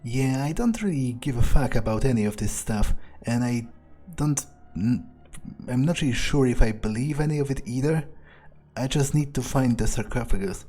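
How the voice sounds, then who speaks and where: A man's voice: medium pitch, animated, normal speed, mellow, natural voice. A man roleplaying an irritable, cynical vampire in a video game, muttering bluntly and thinking aloud. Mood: dismissive. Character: irritable, foul-mouthed, cynical male vampire errand-runner.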